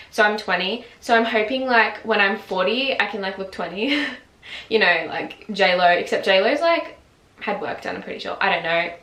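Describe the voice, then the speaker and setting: A woman's voice: medium pitch, a bit bright. Young woman in her twenties, casual chatty vlogger, lots of "like", echoey room. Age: 20s. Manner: chatty, lots of "like" fillers, laughs often. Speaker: beauty vlogger. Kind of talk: casual chat to camera. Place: home, just woken up. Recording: roomy echo.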